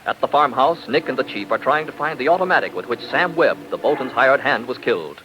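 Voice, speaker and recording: A man's voice: mid-range voice, expressive, a little fast, lo-fi sound. A man announcing on 1940s radio, polished and emphatic as he sells and narrates. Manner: polished, emphatic sales delivery. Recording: vintage 1940s radio broadcast, music behind.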